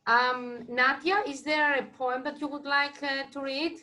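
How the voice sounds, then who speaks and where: A woman's voice: high voice, fairly even intonation, unhurried, bright, forceful tone. A woman in a casual group discussion, talking tentatively and correcting herself as she goes. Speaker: discussion participant.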